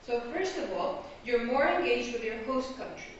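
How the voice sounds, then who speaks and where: A woman's voice: on the high side, animated, normal speed, a little hiss or hum. An Egyptian woman giving an academic presentation as a graduate student, explaining her points and checking in with her listeners. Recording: echoey hall, distant lo-fi mic.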